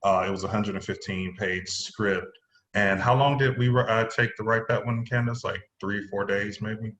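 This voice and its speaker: A man's voice: lowish voice, steady tone, moderate pace, a bit bright, dull audio. A man chatting casually as a playwright about his own writing. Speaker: playwright, acting teacher. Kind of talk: casual chat. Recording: roomy, faintly noisy remote call.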